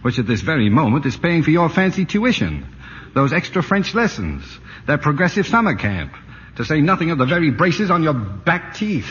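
A man's voice: a little high, sing-song, normal speed, fairly bright tone. An actor playing a grumpy, sarcastic married father and advertising man in a 1940s radio comedy. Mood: indignant. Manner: dry, sarcastic, wordy complaining. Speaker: lead actor in a radio play. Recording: dull, noisy 1940s radio broadcast, reverberant stage.

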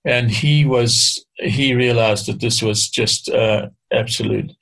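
An older man speaks in a gravelly, creaky voice that stays flat and level in pitch. He explains things in a measured, hesitant way, often pausing and starting phrases again, as a guest being interviewed. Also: poor audio. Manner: hesitant, measured, frequent restarts. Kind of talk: explaining history in conversation.

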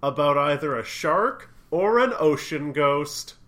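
A young man co-hosting a casual comedy podcast while sick, trading deadpan absurd jokes. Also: on the high side, slow pace. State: sick with a cough. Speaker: podcast co-host.

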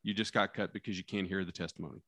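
A man who is a criminal defense lawyer talking casually about trial practice, with a loud, carrying voice, acting out courtroom lines and dropping his voice low for effect. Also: very fast, no echo, studio quality. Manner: animated storyteller, acts out courtroom lines, drops voice low for effect.

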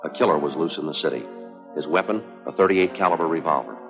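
Very deep, deadpan police detective. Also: male voice, fast talker. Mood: deadpan, terse. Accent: American. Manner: deadpan, matter-of-fact, terse. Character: stoic, terse male homicide police sergeant narrating his case. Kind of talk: clipped 1950s crime drama narration and dialogue. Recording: 1950s TV soundtrack with music.

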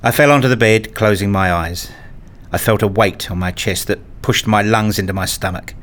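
A man reading a scripted first-person story as narrator, in a measured, introspective manner. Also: fairly low pitch, a bit bright.

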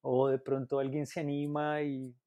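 A man's voice: medium pitch, completely flat delivery, moderate pace, dark tone, no room sound, clean studio sound. A man who runs writing workshops, speaking hesitantly with many fillers. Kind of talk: conversational interview answer.

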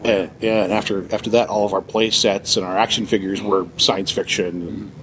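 Low-pitched middle-aged man being interviewed. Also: steady tone, moderate pace, a bit bright, a little hiss or hum, low-quality recording. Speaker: interview guest, writer and audio drama producer. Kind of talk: conversational interview answers.

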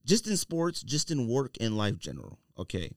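Animated man hosting a casual podcast. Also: mid-range voice, normal speed, dry recording, clean studio sound. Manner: animated, persistent, often cutting in to press questions. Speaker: podcast host. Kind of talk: casual sports and sneaker podcast banter. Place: podcast studio.

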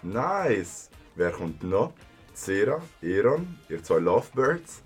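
A man's voice: fairly low pitch, big pitch swings, slow pace, husky, soft background music, exaggerated voice. A man playing a laid-back, sociable young stoner in a contemporary radio play.

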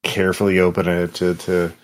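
A man's voice: low-pitched, flat delivery, fairly quick. A man casually role-playing as a player, describing his character's actions in a tabletop game.

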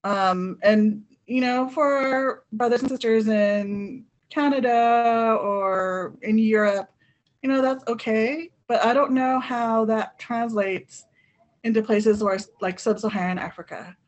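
A woman in a panel discussion, speaking thoughtfully and hesitantly, with many pauses and fillers. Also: on the high side, highs cut off. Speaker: panel guest. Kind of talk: conversational discussion.

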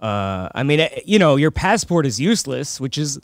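A man's voice: mid-range voice, big pitch swings, moderate pace, dry recording, clean studio sound. A man chatting casually as a comedian guest on a talk show, slipping jokes into conversation. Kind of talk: casual banter with stand-up jokes.

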